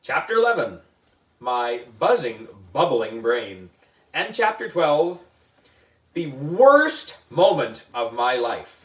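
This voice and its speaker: Animated man reading aloud in an echoey room. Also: medium pitch, slow. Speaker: book reader for a children's book club. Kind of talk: animated children's story reading. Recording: echoey room, distant mic.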